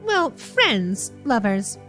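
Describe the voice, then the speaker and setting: Theatrical woman streamer, high swooping voice. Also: very animated, very slow, a bit bright, faint music behind. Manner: theatrical, teasing, sassy. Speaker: streamer reacting to visual novels. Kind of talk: playful gaming commentary with dialogue read aloud.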